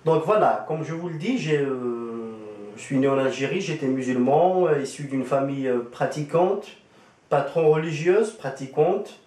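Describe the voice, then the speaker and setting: A man's voice: fairly high pitch, animated, normal speed, darkish tone. Hesitant man, roomy and distant. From Algeria. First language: Kabyle. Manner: hesitant, many fillers and restarts. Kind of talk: casual spoken testimony. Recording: roomy echo, distant from the mic.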